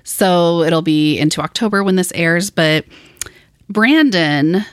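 A woman's voice: lowish voice, expressive, slow pace, smooth, no echo. A woman co-hosting a casual podcast, talking in a chatty, storytelling way and laughing often. Her throat is a little dry from allergies. The recording is close and dry, with almost no room sound. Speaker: podcast co-host. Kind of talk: casual chat. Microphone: podcast mic in a small room.

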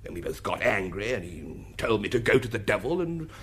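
An actor playing a proud, flustered English duke testifying in a radio drama, with an upper-class English accent and a clipped, slightly husky, hesitant delivery. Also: male voice, lowish voice, sing-song, fairly quick. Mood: flustered, indignant. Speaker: witness at an inquest.

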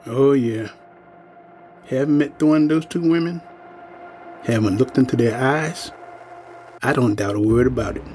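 A man's voice: mid-range voice, unhurried, long pauses, darkish tone, soft background music, close to an everyday voice. Man playing a grave, folksy old working man. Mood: ominous warning. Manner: folksy, plain-spoken, ominous storytelling. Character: grave, world-weary old working-class man, a widowed veteran. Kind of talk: audio drama dialogue.

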